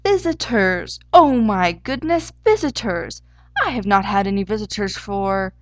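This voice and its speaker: A woman voicing an excitable hare with a German accent. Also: medium pitch, a little slow, no room sound. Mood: overjoyed. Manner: exclamatory, eager, easily indignant. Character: excitable, fussy male Easter hare wearing pants. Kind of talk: storybook character voice.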